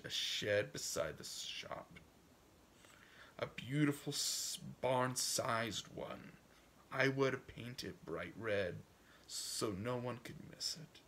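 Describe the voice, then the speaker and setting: A man reading a story aloud as a proud, stubborn old farmer, folksy and musing, with a gravelly voice that drifts into hushed asides. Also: fairly low pitch, big pitch swings, slow pace, a little hiss or hum, pitched below the performer's own voice, exaggerated voice. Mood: wistful pride. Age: older. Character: proud, wistful, stubborn old farmer dreaming for his son. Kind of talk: dramatic audiobook reading in first person.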